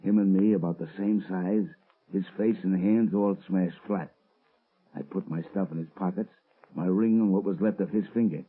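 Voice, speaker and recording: Muffled old radio crime show, sneering tough crook, husky, out for revenge. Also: male voice, sits low, steady tone, normal speed. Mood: gloating. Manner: slangy, sneering tough-guy talk. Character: menacing, mocking tough gangster crook out for revenge. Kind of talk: 1930s radio crime drama acting.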